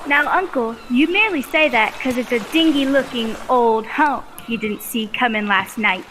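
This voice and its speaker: A woman as a high-voiced, curious young niece. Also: normal speed, fairly bright tone, slight background noise, lightly acted, rough recording. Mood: doubting. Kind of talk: old-time radio drama acting.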